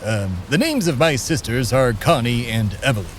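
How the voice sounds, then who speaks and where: A man plays a grandiose, unflappable immortal who personifies America in a comedy audio drama. He relays wild family history in a dry, matter-of-fact tone and lets a pause hang before each punchline. His voice sits at an ordinary middle pitch for a man. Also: animated, slight background noise, close to an everyday voice. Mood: deadpan. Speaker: co-host character.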